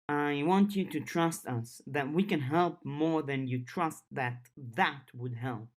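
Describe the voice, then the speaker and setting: Woman reading as a serious, low-voiced teenage girl. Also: takes it slowly. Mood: worried, earnest. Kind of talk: audiobook character dialogue.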